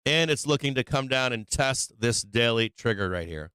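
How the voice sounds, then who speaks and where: A man's voice: mid-range voice, expressive, normal speed, sharp tone, smooth, no echo, studio quality. A man who is losing his voice from being sick, hosting a casual stock-trading livestream, thinking aloud over charts and tossing in rhetorical "right?"s. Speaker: stock market livestream host.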